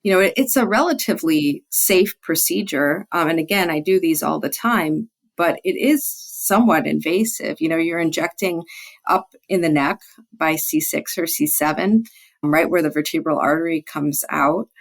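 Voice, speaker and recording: Woman physician with a gravelly, creaky voice. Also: low-pitched, normal speed. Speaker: physician guest, pain specialist. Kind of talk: conversational expert explanation.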